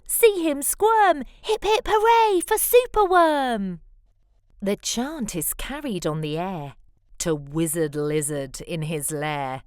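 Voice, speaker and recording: Slow, lively woman storyteller. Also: medium pitch, no echo, studio quality. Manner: animated, deliberate phrasing. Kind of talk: expressive children's picture-book read-aloud.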